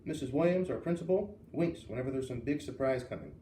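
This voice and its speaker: A man's voice: mid-range voice, expressive, fast talker, warm tone. A man reading a children's novel aloud as a storyteller, expressively acting out the dialogue. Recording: roomy, distant mic with faint hiss.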